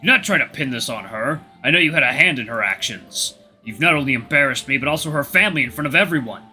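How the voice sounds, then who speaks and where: A man's voice: medium pitch, expressive, fast, pushed and bright, soft background music. A man voicing a stern older king, a formal and reproachful father in a storybook tale. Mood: angry scolding. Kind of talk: storybook character voice.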